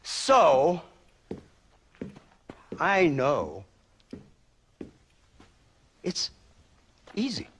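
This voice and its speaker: An actor playing a smug, cynical government agent who speaks in a wordy, theatrical, condescending way in a game cutscene. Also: male voice, a little high, huge pitch swings, extremely slow, pauses often, a little hiss or hum, heavily acted. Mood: mocking, lecturing. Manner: theatrical, sardonic, condescending, chuckling drawl. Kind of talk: dramatic game cutscene acting.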